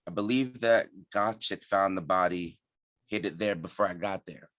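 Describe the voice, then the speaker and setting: A man's voice: low-pitched, moderate pace, a bit bright, no echo. A man playing a tough, blunt henchman with a terse manner in a screenplay table read. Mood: suspicious.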